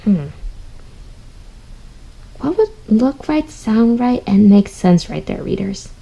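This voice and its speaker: A woman leads a children's reading lesson as a teacher. Her mid-pitched voice has noticeable vocal fry. She speaks straight to her young listeners and reasons out loud as she instructs. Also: sing-song, takes it slowly, low-quality recording. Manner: instructive, addresses listeners directly, thinks aloud.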